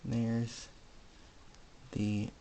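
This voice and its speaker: A man giving a casual hands-on electronics demonstration, speaking haltingly in short piecemeal phrases. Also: medium pitch, completely flat delivery, warm tone, poor audio. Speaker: hobbyist presenter. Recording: faint background noise with clicks.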